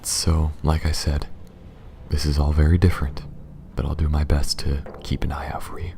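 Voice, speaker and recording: An extremely low, dark voice for a man acting as a gruff alien smuggler in an ASMR roleplay. He talks softly and a little awkwardly, guarded yet protective, close to a whisper at times. Steady ambient noise sits behind him. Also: normal speed. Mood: casual, welcoming. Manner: low-key, hesitant, speaking directly to one listener. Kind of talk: ASMR roleplay audio drama.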